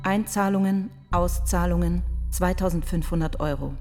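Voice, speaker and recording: A woman's voice: fairly low pitch, fairly even intonation, moderate pace, a little mellow, natural voice. A woman reading out an investigation timeline in a radio drama, cool, clipped and matter-of-fact. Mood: cool, factual. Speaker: reader of case chronology. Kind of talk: scripted radio drama announcement. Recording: long studio reverb. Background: faint music.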